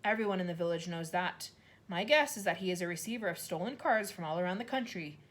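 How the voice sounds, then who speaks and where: A woman voicing a kind young woman teacher who speaks quietly and softly. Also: on the low side, lively intonation, quick pace, lightly acted. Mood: gentle. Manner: quiet, soft-spoken.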